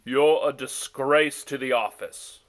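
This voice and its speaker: A man's voice: mid-range voice, slow pace, natural voice. A man voicing a bitter, scornful rival messenger in a storybook tale. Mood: contemptuous. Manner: sneering, scornful.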